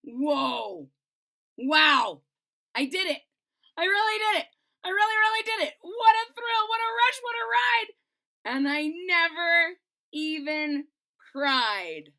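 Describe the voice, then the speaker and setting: Lady doing a high squeaky nervous bean kid, shaky and fretful. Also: lively intonation, takes it slowly, long pauses, pushed and bright, heavily acted. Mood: relieved. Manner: fretful, quavering, rising to shrill cries. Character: nervous little male bean, timid, anxious.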